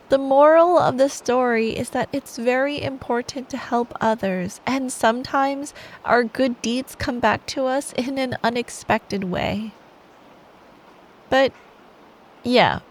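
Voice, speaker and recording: A woman's voice: a little high, rough recording. A woman doing ASMR bedtime storytelling as a girlfriend, affectionate, soothing and playful. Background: rain ambience.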